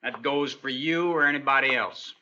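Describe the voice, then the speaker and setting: A man's voice: medium pitch, normal speed, pushed and bright, lo-fi sound. An actor playing a defiant young male outlaw prisoner, surly and taunting, in an old-time radio western. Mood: defiant, threatening.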